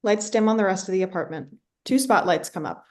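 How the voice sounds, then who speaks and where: A woman's voice: mid-range voice, a little fast, a little mellow. A woman reading scripted stage directions as the narrator of a play reading.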